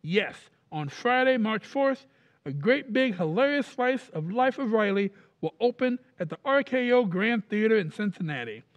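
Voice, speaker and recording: High-pitched 1940s radio announcer , a man acting. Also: expressive, normal speed, lo-fi sound. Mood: enthusiastic. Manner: polished, enthusiastic salesmanship.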